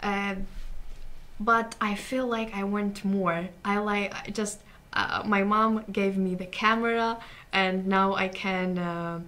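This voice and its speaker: A woman's voice: mid-range voice, fairly even intonation, slow pace, fairly bright tone. A woman from Ukraine with a Ukrainian accent, talking casually as an interview guest and searching for words in imperfect English. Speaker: interview guest, community TV show creator.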